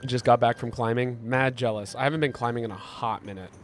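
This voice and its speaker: A man streaming a game, chatting with his viewers and answering their messages. He is sick and congested, and his voice is hoarse, gravelly and deep. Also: fairly even intonation, fairly quick, soft background music. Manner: rambling, reads chat aloud and answers it. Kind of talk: casual live-stream chat while gaming.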